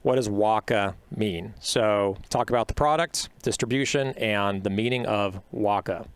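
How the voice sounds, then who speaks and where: Low, smooth-voiced chatty podcast host. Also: male voice, measured pace, dry recording. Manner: chatty, upbeat, filler-heavy. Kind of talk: podcast interview.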